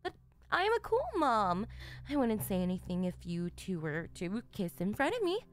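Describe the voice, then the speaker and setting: A woman's voice: mid-range voice, big pitch swings, moderate pace, lightly acted. A woman voicing a doting, obsessive yandere mother, chatty and sugary, in an ASMR roleplay. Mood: gushing, cheerful.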